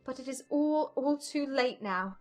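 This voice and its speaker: Actress as distraught young gentlewoman, high-voiced. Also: unhurried, faint music behind. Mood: agitated despair. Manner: agitated, emotional, formal. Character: distraught young gentlewoman, anxious and self-reproaching. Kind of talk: dramatized audiobook period dialogue.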